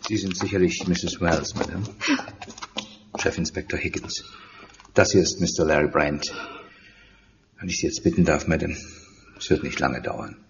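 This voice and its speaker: Deep-voiced, world-weary police inspector. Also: male voice, steady tone, moderate pace, long pauses. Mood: subdued, official. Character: dry, matter-of-fact British chief police inspector. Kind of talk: German audio drama acting. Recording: dull sound with clear background noise.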